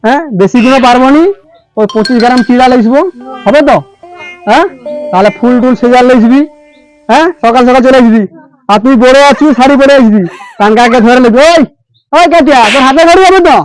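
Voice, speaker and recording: A man's voice: extremely high pitch, quick pace, rough recording. A cash-hungry village tutor from a comedy cartoon, acted by a man, bossy and easily flustered. He repeats himself in colloquial Bengali with a Medinipur accent. Music plays behind the voice.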